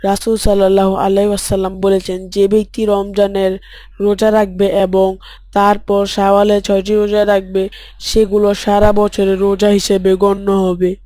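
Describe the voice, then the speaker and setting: A woman's voice: mid-range voice, monotone, normal speed, mellow, no room sound, lightly acted. A woman voicing a pious, studious young boy who recites earnestly and formally in a cartoon story. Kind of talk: scripted cartoon story dialogue.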